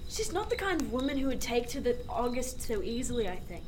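A woman's voice: fairly high pitch, big pitch swings, brisk, noisy background. A woman playing a roguish, unrepentant male sailor, eloquent and sly, in a period seafaring audio drama. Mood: thoughtful. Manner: eloquent, sly, old-fashioned wording.

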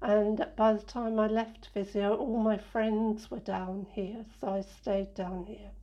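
A woman from England answering interview questions in an unhurried, reflective way, with many fillers and restarts. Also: medium pitch, little pitch movement, mellow, dull audio.

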